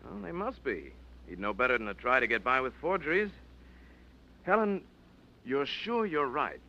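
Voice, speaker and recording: A calm, cautious adviser. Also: male voice, medium pitch. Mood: measured. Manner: measured and reasoning. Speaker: radio drama actor. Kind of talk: 1940s radio acting. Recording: dull vintage radio recording.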